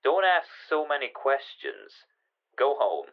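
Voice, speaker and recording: A man's voice: mid-range voice, wildly swooping pitch, measured pace, gravelly, exaggerated voice. A man playing a secretive, commanding gangster caller in a radio crime drama, terse, through a telephone filter. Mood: cool, commanding. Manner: terse, ordering. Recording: thin, phone-like band.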